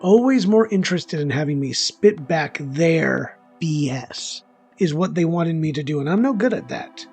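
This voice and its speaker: Bitter male loner acted by a man, gravelly fry, rambling confessional monologue, defensive, self-deprecating, music underneath. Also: fairly high pitch, animated, normal speed, a little mellow. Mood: smug, resentful. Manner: rambling, defensive, resentful asides. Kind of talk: dramatic first-person monologue, conversational.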